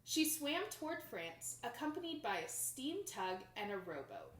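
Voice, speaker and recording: A young woman reads a children's picture book aloud with expressive, dramatic emphasis, recorded in a small room indoors. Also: fairly high pitch, measured pace, slight background noise. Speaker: storybook reader. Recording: roomy home recording.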